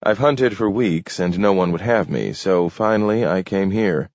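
A man's voice: sits low, moderate pace, a little mellow, no room sound. A man voicing a proud, anxious job seeker who talks in a hesitant, formal way. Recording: dull lo-fi recording.

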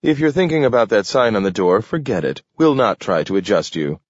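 A man doing a smooth, lecturing official. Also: lowish voice, very animated, fairly quick, no room sound, voice pushed up. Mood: assured. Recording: dull lo-fi recording.

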